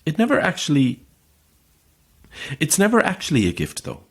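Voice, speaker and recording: A man's voice: on the high side, huge pitch swings, normal speed. A man playing a sceptical, grumbling holidaymaker in a naturalistic audio horror drama, with a dry, terse, questioning manner. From Ireland.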